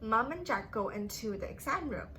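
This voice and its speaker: High, lilting woman hosting children's story time. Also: expressive, bright, forceful tone, slight background noise. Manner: simple, drawn-out phrasing addressed to children. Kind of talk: read-aloud narration and playful teaching to young children.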